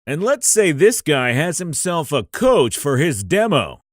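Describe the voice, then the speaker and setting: A man's voice: fairly high pitch, big pitch swings, a little slow, dry recording, clean studio sound. A man, a voice actor and coach, delivering scripted promotional narration straight to the listener. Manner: direct address to the listener.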